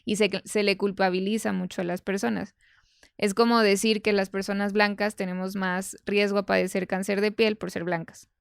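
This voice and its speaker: A woman's voice: medium pitch, little pitch movement, normal speed, creaky, clear, resonant tone, no echo, studio quality. A woman giving conversational explanations as an expert guest, emphatic and didactic, going point by point. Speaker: podcast guest, nutrition expert.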